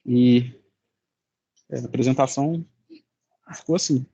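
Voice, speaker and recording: A young man with a Brazilian Portuguese accent, giving an informal student presentation in a halting, colloquial way. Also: mid-range voice, steady tone, dark tone, breathy edge, muffled recording. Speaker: student presenting a final project.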